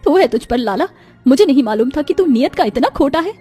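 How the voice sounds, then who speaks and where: A woman's voice: sits high, expressive, a little fast, mellow, faint music behind, pitched above the performer's own voice. A woman voicing a poor young village daughter-in-law in a cartoon story, earnest and pleading in a dramatic, plaintive way. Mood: bitter. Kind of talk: Hindi moral cartoon story acting.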